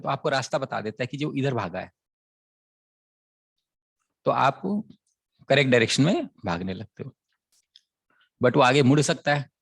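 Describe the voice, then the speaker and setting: Guy w a sore throat teaching maths, gravelly husky voice, keeps asking if u get it. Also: mid-range voice, a bit bright. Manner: explains a word problem as a story, checking often that it is understood. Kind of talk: classroom lecture.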